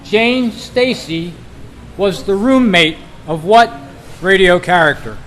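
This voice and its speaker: A man hosting a quiz, reading trivia questions aloud to a group in a deliberate, phrase-by-phrase way at a club meeting. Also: high voice, lively intonation, fairly bright tone. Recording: noisy live meeting room recording.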